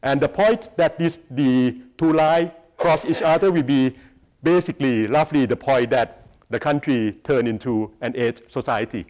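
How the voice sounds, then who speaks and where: Guest lecturer: middle-aged man, Thai accent, medium pitch, pausing mid-phrase as he presents. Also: darkish tone. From Thailand. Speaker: guest speaker, research institute president. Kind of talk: public lecture with slides. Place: lecture hall, on stage before seated audience.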